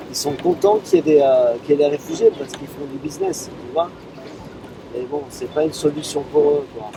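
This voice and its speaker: Man, volunteer, casual conversational talk, slightly high, loud noise behind. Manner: relaxed, conversational. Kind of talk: casual chat.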